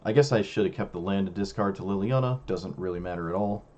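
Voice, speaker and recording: Young male streamer, low voice, hoarse and fading, muttering self-critical game commentary on a dull lo-fi mic. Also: quick pace, a little mellow. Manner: musing, self-critical, talks to himself. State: losing his voice, hoarse. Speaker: streamer playing a card game. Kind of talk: casual gameplay commentary.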